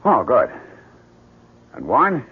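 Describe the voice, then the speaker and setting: An eager, moody artist husband from a seventies radio play. His delivery is animated and full of swings, at an ordinary male pitch, over a narrow, muffled broadcast sound. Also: slow, husky, heavily acted. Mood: cheerful. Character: moody husband, a painter, newly elated and eager. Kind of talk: 1970s radio drama acting.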